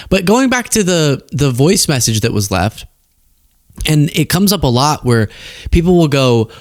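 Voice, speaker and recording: A man's voice: mid-range voice, no room sound, clean studio sound. A man hosting casual political commentary in a wry, conversational way. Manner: wry, conversational, reading messages aloud mockingly. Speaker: political commentator and show host.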